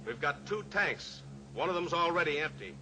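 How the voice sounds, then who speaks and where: An actor playing a calm, steely cowboy pilot hero who coolly taunts his captor, in a 1950s radio drama. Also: a little high, moderate pace, rough recording. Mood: coolly taunting. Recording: old radio recording with hiss.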